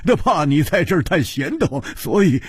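A man's voice: a little high, big pitch swings, normal speed, breathy edge, dry recording, studio quality, exaggerated voice. Man acting a boisterous teasing drinking buddy. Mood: gleeful. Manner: loud, jovial, mocking. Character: boisterous, teasing, hard-drinking martial arts comrade.

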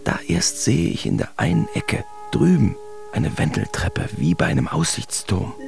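An actor playing a German artist who tells of his absurd nightmare in a wry, uneasy way, as theatrical first-person radio drama narration with a gravelly voice. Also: medium pitch, sing-song, moderate pace. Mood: uneasy, puzzled. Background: music behind the voice.

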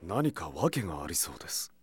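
An actor playing a calm, confident swordsman guard captain in a Japanese audio drama, speaking gruffly but with composure. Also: low-pitched, normal speed, heavy background noise.

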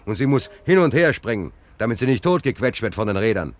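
A man's voice: lowish voice, quick pace, no room sound. A man playing a naive, agitated stranded sailor in a German radio play, telling his woes in an emphatic, colloquial, repetitive way. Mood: agitated lament. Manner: excitable storyteller, emphatic, colloquial, repeats himself. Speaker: actor.

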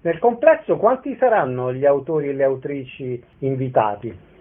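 A man's voice: mid-range voice, lively intonation, moderate pace. A man interviewing a guest on the radio with conversational questions. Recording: narrow, phone-like radio sound.

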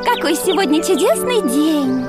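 An actress playing a lively, sharp-tongued young princess who exclaims freely in a radio play. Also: female voice, high-pitched, moderate pace, over loud music.

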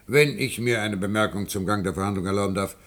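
Low-voiced, cautious chairman. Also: quick pace, fairly bright tone. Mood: diplomatic. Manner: formal, circumlocutory, tentative. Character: cautious, diplomatic male chairman of a hearing. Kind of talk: German radio play acting.

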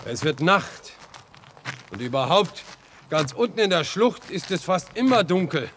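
An actor in a German radio drama playing a folksy, helpful railway line inspector who likes to chat and caution. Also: male voice, a little high, big pitch swings, unhurried, a bit bright. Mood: matter-of-fact, cautioning. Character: chatty, obliging railway line inspector guiding a stranger. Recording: noisy old radio play recording.

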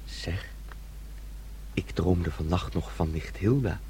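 A man acting in a radio drama as a naive, childlike son who dreams aloud in a rambling, excitable way. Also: sits low, slow, a little hiss or hum. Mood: dreamy storytelling.

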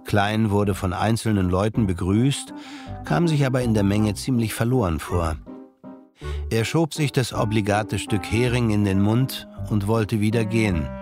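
A man's voice: fairly low pitch, a little slow. A man narrating a literary radio drama in scripted German storytelling style. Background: music.

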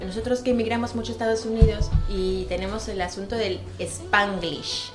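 A woman with a Mexican accent chatting on a radio panel in an animated, emphatic way. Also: medium pitch, moderate pace, reverberant, music in the background. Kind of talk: casual radio chat.

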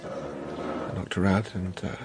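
An actor playing an earnest, troubled crash survivor in a radio drama. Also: male voice, low voice, slow, creaky, background noise, heavily acted. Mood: weak, remorseful. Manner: urgent, incredulous, pleading. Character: frightened, pleading man, earnest crash survivor. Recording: music under the voice.

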